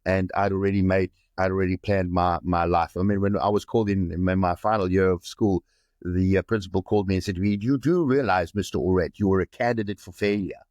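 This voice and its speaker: A South African actor in his forties, telling stories in a lively way and often repeating words, speaking over a video call from a room with a plain wall. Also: deep voice, fairly quick, dry recording, highs cut off. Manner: animated storyteller, often repeats words, slips into other accents. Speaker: actor guest.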